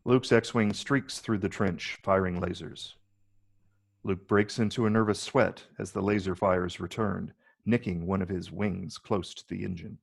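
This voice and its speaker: Gravelly low-voiced man narrating a screenplay. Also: steady tone, measured pace. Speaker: narrator reading stage directions.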